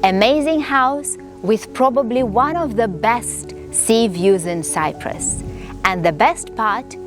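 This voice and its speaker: A woman's voice: fairly high pitch, slow pace. A woman interviewer in a polished promotional interview, enthusiastic and full of praise. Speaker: interviewer, real estate CEO. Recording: music playing underneath.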